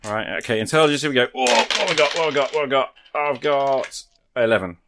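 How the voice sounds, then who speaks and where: A man's voice: mid-range voice, quick pace, noisy background. A man hosting a podcast, chatting in a casual, off-the-cuff way. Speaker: podcast host and player.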